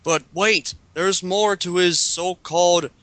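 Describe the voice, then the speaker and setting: Man, bright lively voice, slightly high, mocking read-aloud, casual commentary. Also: slow, no echo. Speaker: reader in a group dramatic reading.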